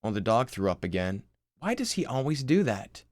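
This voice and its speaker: Screenplay role: sarcastic teen son, exasperated, animated delivery , voiced by a man. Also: mid-range voice, normal speed, no room sound, clean studio sound, close to an everyday voice. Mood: dry, weary. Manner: sardonic, incredulous. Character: exasperated, sarcastic eighteen-year-old son.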